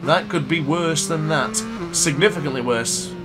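A man's voice: fairly high pitch, animated, heavy background noise. Congested man commentating a race. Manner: brisk commentator-style narration, self-correcting. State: congested with a cold. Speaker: gaming commentator. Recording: loud game music behind.

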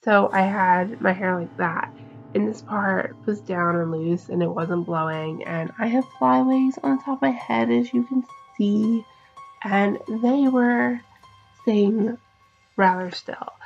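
A young woman vlogging from her bedroom, chatting to the camera about a spooky experience with a low voice and lots of "like". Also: slow, creaky, dull audio, faint music behind. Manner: chatty, retelling events with frequent "like" and "I'm like". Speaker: paranormal vlogger. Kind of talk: casual vlog talk to camera. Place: bedroom indoors. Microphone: camera facing her at desk distance.

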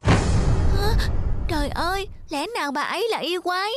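High-pitched little boy novice. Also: expressive, normal speed. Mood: frightened. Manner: pleading, childlike. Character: eager, easily scared little boy novice monk. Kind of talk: cartoon fairy tale acting. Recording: music behind the voice.

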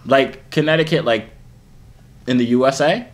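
Deadpan young man teasing strangers. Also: mid-range voice, moderate pace. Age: young adult. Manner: deadpan, playful trash talk. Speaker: YouTuber on video chat app. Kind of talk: teasing prank chat. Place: small indoor room.

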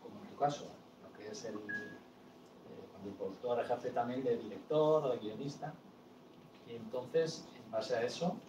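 A man's voice: medium pitch, normal speed. Explanatory man in a roomy hall. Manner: explanatory, hesitant with fillers. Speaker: panelist answering on audiovisual grants. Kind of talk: panel discussion answers. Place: small event room with audience. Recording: roomy, distant, noisy room sound.

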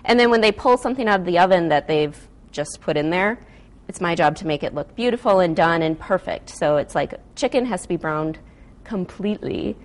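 A woman's voice: lowish voice, animated, fairly quick. Chatty, enthusiastic American woman demonstrating cooking. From Wisconsin, United States. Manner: chatty, enthusiastic, informal, frequent "like". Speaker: guest food stylist and recipe developer. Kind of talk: casual cooking demonstration on morning TV.

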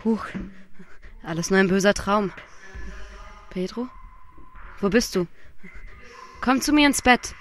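Actress as dreamy questioning woman over loud music. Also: mid-range voice, animated, slow pace, pauses often. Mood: dazed, calling. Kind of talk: surreal radio play acting. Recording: loud music bed behind the voice.

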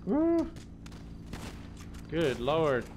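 A man's voice: fairly high pitch, wildly swooping pitch, drawn-out words, background noise. A man leading a group gaming stream in casual, exclamatory chatter with some swearing. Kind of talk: casual multiplayer game chatter.

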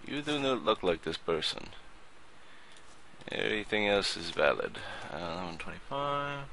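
A man's voice: on the low side, animated, moderate pace, noisy background. A man voicing a grumpy, crude male border inspector who speaks curt, broken English while playing a game. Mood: gruff grumbling. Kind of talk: let's play commentary in character.

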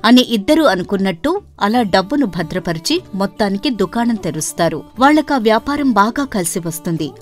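Woman narrating a story over music. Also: medium pitch. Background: background music.

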